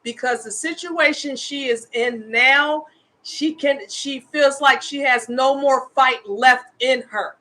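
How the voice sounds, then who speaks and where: Bright-voiced earnest woman in her fifties. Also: sits high, takes it slowly, rough recording. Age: 50s. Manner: earnest, impassioned advocacy. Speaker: host, homeless women's advocate. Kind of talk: casual livestream talk.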